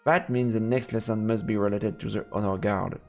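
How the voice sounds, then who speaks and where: A man's voice: fairly low pitch, steady tone, a little fast, gravelly, darkish tone. A man livestreaming a visual novel, switching between casual muttered commentary and reading the narration aloud over game music. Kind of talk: casual let's play chat mixed with read-aloud narration.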